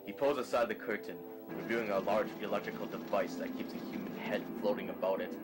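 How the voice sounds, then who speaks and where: Low-pitched male story narrator over music. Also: fairly even intonation, fast. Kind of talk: scripted present-tense story narration.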